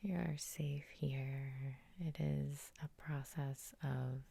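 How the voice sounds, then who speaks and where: Lady hypnotherapist doing asmr sleep hypnosis, low soft whispery voice, dark and soothing, slow lingering phrases. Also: no room sound. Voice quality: soft, breathy half-whisper. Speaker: hypnotherapist and host.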